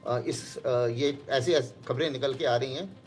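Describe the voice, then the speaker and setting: A man's voice: mid-range voice, measured pace, soft background music. A man giving analysis as an expert guest in a live news discussion.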